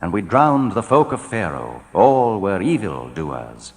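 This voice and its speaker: A man reading translated scripture in a solemn, measured, declamatory style, letting his phrases fall at the end. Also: sits low, sing-song. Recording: long hall reverb.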